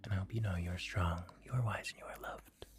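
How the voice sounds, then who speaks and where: A man hosting a livestream, whispering gently and offering soft, comforting affirmations in an ASMR style. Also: low voice, steady tone, quick pace. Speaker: livestream host.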